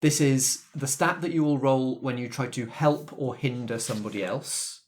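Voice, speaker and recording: A man's voice: mid-range voice, moderate pace. A man hosting as game master, introducing and explaining a tabletop game to players. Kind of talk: actual-play podcast hosting, explaining game rules.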